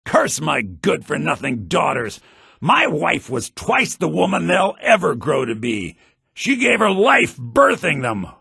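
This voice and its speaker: An actor playing a haughty, grumbling fantasy townsman in a video game, theatrical and sardonic, in low-bitrate audio. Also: sing-song, a little slow, vocal fry, bright, forceful tone, breathy edge, no echo, studio quality, full character voice. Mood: angry, bitter. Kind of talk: video game character voice lines.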